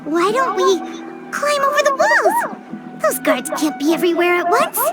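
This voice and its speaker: A woman voicing a small, childlike fairy companion in a video game, excitable, clingy and emotional, given to exclaiming. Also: a little slow, heavily acted. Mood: scheming, matter-of-fact. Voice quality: squeaky, childlike. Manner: refers to self in third person, exclaiming. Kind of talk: video game character acting. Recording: game music under the voice. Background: music.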